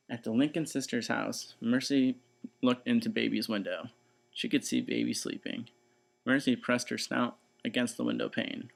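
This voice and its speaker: A man reading a children's story aloud in expressive, scripted narration. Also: mid-range voice, creaky.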